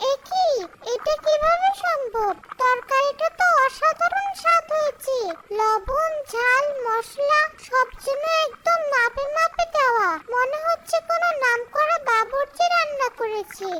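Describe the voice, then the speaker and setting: A woman's voice: very high voice, poor audio. A woman playing a cheerful, trusting young housewife in a cartoon, speaking in a gushing way over music. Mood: amazed. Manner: gushing, optimistic. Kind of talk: cartoon dialogue acting.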